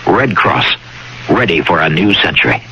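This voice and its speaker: A man's voice: sits low, animated, moderate pace, vocal fry, highs cut off. A man narrating a scripted public service announcement in storytelling style on radio. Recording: old radio broadcast, loud background noise.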